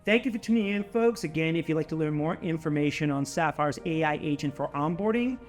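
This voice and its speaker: A man's voice: fairly high pitch, animated, fairly quick, soft background music. A man presenting a scripted product announcement as a company presenter, emphatic and given to repeating key phrases.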